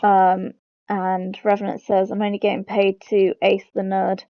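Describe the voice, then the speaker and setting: A woman's voice: mid-range voice, completely flat delivery, a little slow, no room sound. A woman hosting a game lore video, explaining it informally as she goes. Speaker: host of a game lore channel. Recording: dull lo-fi close mic.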